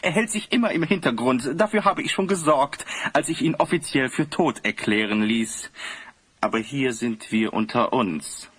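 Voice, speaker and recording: An actor playing a vain, cruel, pompous ruler in a theatrical audio drama style. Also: male voice, medium pitch, moderate pace, fairly bright tone, breathy edge. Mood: menacing. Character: vain cruel male ruler, obsessed with beauty. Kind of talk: German audio drama acting.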